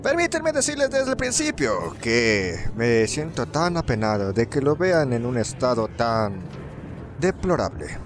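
A man's voice: mid-range voice, wildly swooping pitch, a little slow. A man with a Latin American Spanish accent voicing an eccentric, chatty museum owner in a game dub, effusive and rambling. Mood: effusive, apologetic. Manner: effusive, rambling, stammering repeats. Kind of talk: video game fan-dub acting.

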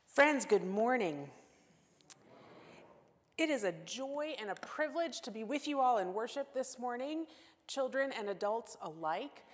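A woman's voice: a little high, measured pace. A woman in her forties preaching as guest pastor at a church pulpit, talking expressively and gesturing. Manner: warm expressive, gestures while speaking. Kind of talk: sermon and scripture reading. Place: church sanctuary at wooden pulpit. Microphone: gooseneck pulpit mic. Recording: long hall reverb.

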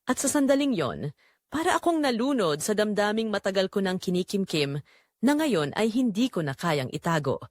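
Babaeng tagapagsalaysay na Pilipina, pabago-bago ang tono. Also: female voice, mid-range voice, big pitch swings, moderate pace, dry recording, clean studio sound. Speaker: narrator of an audio drama story. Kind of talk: first-person scripted dramatic storytelling.

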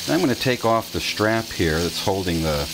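A man's voice: deep voice, lively intonation, moderate pace, gravelly, noisy background. A middle-aged man hosting a casual kitchen demonstration, explaining each step in a folksy way with fillers, filmed in a home kitchen on a camera microphone. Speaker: channel host.

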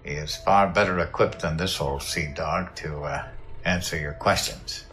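A man playing a gruff, dutiful old navy admiral in a science fiction audio drama, formal and clipped. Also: a bass voice, moderate pace, bright, forceful tone, slight background noise. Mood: uneasy, dutiful.

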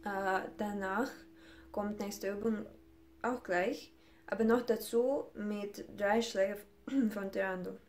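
Slow-talking young woman from Ukraine teaching guitar. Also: medium pitch, steady tone. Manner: slow, careful non-native speech with hesitations. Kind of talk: guitar technique lesson. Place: small room indoors. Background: classical guitar playing.

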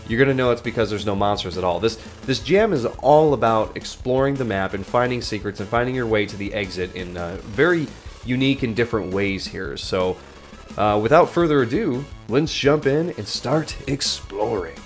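A man in his thirties with a low voice, congested from a cold, talks over a game he is playing. He opens with an upbeat greeting, then slips into a soft, murmured running commentary. He is recorded close on a studio mic in a room at home, with faint music playing behind him. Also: normal speed. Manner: lively greeting, then low muttered thinking aloud while playing. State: stuffy from a cold. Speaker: let's play host. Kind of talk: gameplay commentary. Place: home room with shelves of plush toys, indoors. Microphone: large studio mic on a boom arm.

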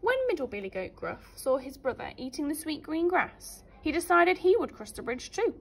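A woman with a fairly high, very lively voice reads a children's story like a primary teacher. She is expressive and leans on key words. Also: moderate pace, vocal fry. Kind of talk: children's story read aloud.

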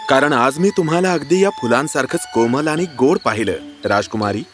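An actor playing an earnest, charming young prince with a courteous, romantic manner in a fairy-tale cartoon. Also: male voice, medium pitch, expressive, brisk, faint music behind, lightly acted. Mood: courteous, teasing.

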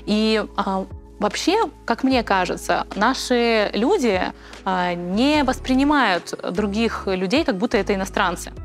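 A young woman hosts a studio interview, her voice at an ordinary middle pitch. She poses prepared questions and stresses the start of each one. Quiet music plays underneath. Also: expressive, a little slow. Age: young adult. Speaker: interview host. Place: dark indoor studio set, seated in armchair with tablet.

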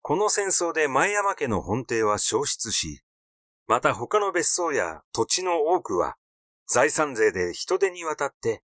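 A man reading literary fiction aloud as a narrator, in a measured storytelling delivery. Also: mid-range voice, fairly bright tone, dry recording, studio quality. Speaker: audiobook narrator.

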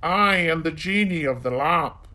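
A grand male genie from a children's storybook, put on by a man narrating. He speaks slowly and ceremoniously, an obedient servant addressing his master with solemn weight. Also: fairly high pitch, animated, bright, forceful tone. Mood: grand, servile.

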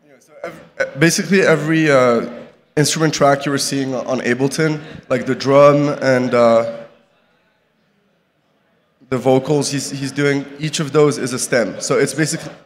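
A man's voice: medium pitch, steady tone, moderate pace. A young man from Morocco giving a casual conference talk at a podium, full of fillers and restarts. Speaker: conference speaker. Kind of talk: live conference talk with slides. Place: large conference hall with audience. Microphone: podium microphone. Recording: reverberant hall, faint background noise.

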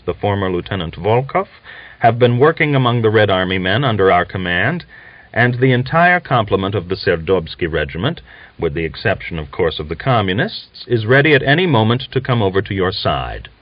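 Man acting anxious educated officer, low-pitched, emphatic, formal, audiobook character, close dry sound. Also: very animated, normal speed, dry recording. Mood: earnest, formal. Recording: dull lo-fi audiobook recording.

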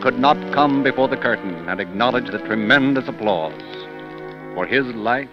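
A man giving measured, dramatic scripted narration in 1930s radio style. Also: medium pitch, over music. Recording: muffled 1930s radio recording.

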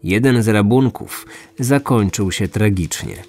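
A man hosting a true-crime podcast, narrating from a script in measured storytelling style. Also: lowish voice, lively intonation, darkish tone. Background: background music.

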